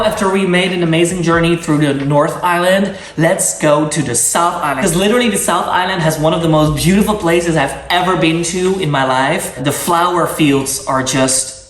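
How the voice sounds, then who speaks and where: A man's voice: on the high side, a bit bright. A young man with a Dutch accent, a native Dutch speaker, giving casual travel tips in an enthusiastic, gushing way, full of fillers and restarts. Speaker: travel vlogger. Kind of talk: casual travel-guide talk. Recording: roomy sound, mic at a distance.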